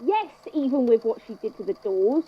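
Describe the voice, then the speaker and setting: A woman playing a composed, wry institute head in a scripted audio drama, speaking in a measured, conversational way. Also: on the high side, big pitch swings, lo-fi sound. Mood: wry, exasperated. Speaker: podfic voice actor, institute head narrating a statement.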